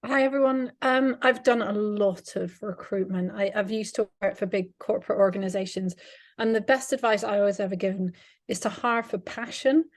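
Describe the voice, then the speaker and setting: A woman's voice: mid-range voice, darkish tone, dry recording. A woman startup founder speaking on an online panel, friendly with light laughs and fillers, over a video call. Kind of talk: panel discussion.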